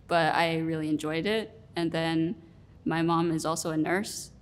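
A young woman in her twenties sits for an interview, her voice fairly low. She talks in a relaxed, reflective way and often pauses on fillers. A faint rumble runs under the recording. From Rhode Island, US. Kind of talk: sit-down interview. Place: studio room with plants.

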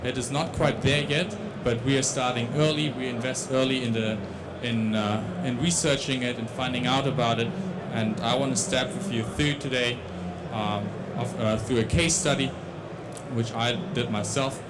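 A man's voice: medium pitch, steady tone, moderate pace, very noisy. A young man with a Dutch accent giving a tech conference talk on a large stage into a handheld mic, with many restarts and fillers. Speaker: conference speaker.

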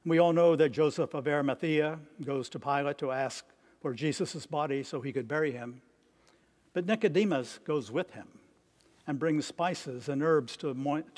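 An elderly man delivers a sermon from the lectern of a stone church. His voice sits a little high for a man. He reads from his notes in deliberate phrases, pausing between them. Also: a little mellow. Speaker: priest, preacher.

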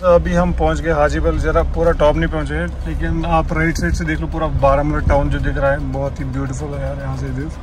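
A man's voice: fairly high pitch, little pitch movement, fast, hardly any pauses, music in the background. A man, talking casually to viewers while driving and stopping on a mountain road. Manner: chatty, mixes in English phrases. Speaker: vlogger. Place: in a car and outdoors on a mountain road. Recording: noisy outdoor road recording.

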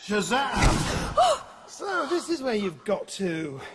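An actor playing a wry, eccentric philosophy teacher in a radio drama, with a theatrical, lecturing manner. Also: male voice, high-pitched, huge pitch swings, takes it slowly, very noisy, heavily acted.

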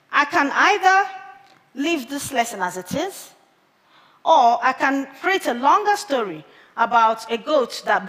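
Igbo-speaking woman, 30s, stage speaker: slow, measured delivery, lively high pitch. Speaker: conference speaker. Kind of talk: prepared public talk. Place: large theater stage with audience. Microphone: headset mic. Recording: long hall reverb from a big theater.

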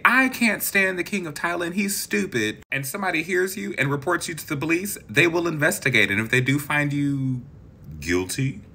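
A man's voice: fairly high pitch, bright, forceful tone, husky. A man in his thirties casually explaining facts in a vlog, chatty and dramatic, with gossipy asides. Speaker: video creator. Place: green-screen setup, indoors.

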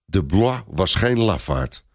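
A man's voice: low-pitched, big pitch swings, slow, heavily acted. A man voicing a loyal, indignant medieval knight with emphatic delivery in a storybook reading. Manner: emphatic, exclaiming.

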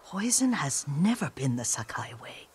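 A woman playing an elderly, loyal household retainer in a dramatic video game, with a soft, tender and deferential way of speaking. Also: sits low, very animated, moderate pace, a little hiss or hum. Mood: solemn, troubled. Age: older.

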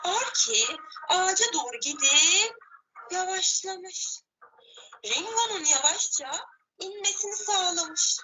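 A woman teacher telling a story to children in an animated, expressive way, with drawn-out repetitions. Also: very high voice, low-quality recording. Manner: animated, drawn-out repetitions, addressing young listeners.

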